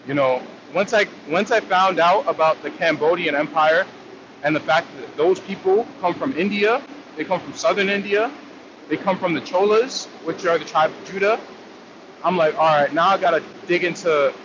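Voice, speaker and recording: A man's voice: fairly high pitch, normal speed. A man hosting a casual livestream, rambling in a loose, slangy way as he answers viewer comments, full of fillers and restarts. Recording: dull lo-fi stream audio with faint noise.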